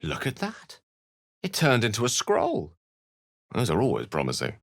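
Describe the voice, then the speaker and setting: Eloquent, animated wizard. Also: male voice, medium pitch, normal speed. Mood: musing. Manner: theatrical, articulate, formal wording. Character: eloquent, erudite male wizard, urbane and dryly witty. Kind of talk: video game character dialogue.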